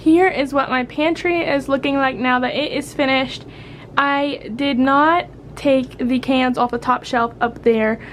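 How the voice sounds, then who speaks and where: A young woman talks casually and cheerfully to her viewers from her home kitchen. Her voice is high and a little stuffy from a head cold. She sometimes sounds a bit further from the camera mic, and faint music plays behind her. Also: fairly even intonation, a little slow. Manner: chatty, friendly, upbeat, with regional phrasing like "needs organized". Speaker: vlogger.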